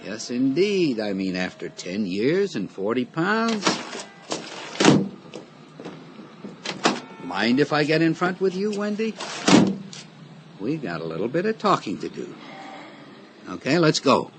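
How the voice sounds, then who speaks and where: An actor playing a sly, menacing middle-aged blackmailer in a radio drama, taunting with mock-friendly, folksy familiarity. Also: male voice, medium pitch, big pitch swings, unhurried. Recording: radio drama recording with background noise.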